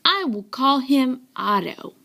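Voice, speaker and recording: Slow, high-voiced woman reading a storybook. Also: lively intonation, vocal fry, bright, forceful tone. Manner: dramatic, deliberate storytelling with drawn-out emphasis. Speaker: storybook reader. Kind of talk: children's read-aloud, scripted narration in a child's first person.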